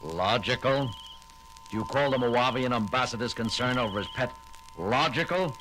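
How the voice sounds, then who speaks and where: An actor playing a commanding male starship captain who talks in a brisk way, giving orders, in a 1970s audio drama. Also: mid-range voice, expressive, background noise, close to an everyday voice.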